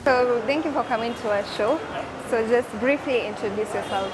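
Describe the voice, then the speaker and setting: A woman's voice: on the high side, moderate pace, heavy background noise. A woman with a Kenyan accent hosting a conversational interview, slipping between English and Swahili. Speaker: show host, interviewer.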